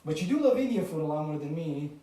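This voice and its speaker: A man's voice: a little high, very animated, fast talker, mellow, far from the mic. Teasing young man in an echoey room. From Romania. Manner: playful teasing. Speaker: vlog co-host. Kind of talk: casual chat.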